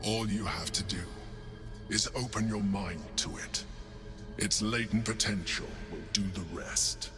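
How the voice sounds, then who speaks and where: An actor playing a calm, persuasive mind flayer mentor in a video game, solemn and coaxing in manner. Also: male voice, lowish voice, measured pace, music in the background, natural voice. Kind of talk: video game cutscene acting.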